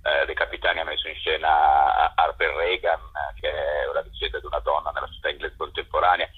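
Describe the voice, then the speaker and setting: Theatre director guest calling in; low, breathy voice, halting delivery, phone-quality audio. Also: male voice, little pitch movement. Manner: hesitant, repeats words while searching. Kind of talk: radio interview by phone. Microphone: telephone. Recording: tinny phone line.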